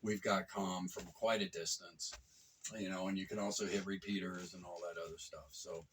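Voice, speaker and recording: A man giving a casual, folksy product review, talking emphatically with a husky, rough-edged voice. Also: deep voice, level tone, moderate pace, recorded from a distance, background noise.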